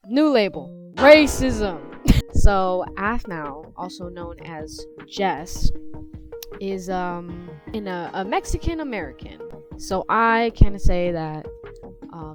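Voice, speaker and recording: A woman giving casual, chatty video commentary, informal and opinionated with sarcastic asides. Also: medium pitch. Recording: faint music behind voice.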